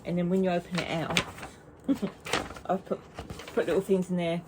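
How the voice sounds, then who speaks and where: Knitting vlogger, woman, lower voice, chatty, restarts her sentences, quiet room hum. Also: little pitch movement, moderate pace. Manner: chatty and rambling, with frequent restarts. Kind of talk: casual chat to camera.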